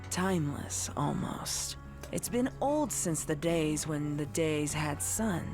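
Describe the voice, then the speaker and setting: A woman reading dark horror fiction as scripted narration. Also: low-pitched.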